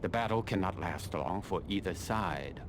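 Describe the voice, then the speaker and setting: Casting: game colonel, low voice, imperious and calculating, formal delivery, noisy ambience behind. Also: male voice, lively intonation. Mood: brisk, commanding. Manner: measured, imperious, formal, dryly condescending. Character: cold, calculating middle-aged Chinese army colonel, male. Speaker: military commander giving mission briefings. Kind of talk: video game mission briefing dialogue.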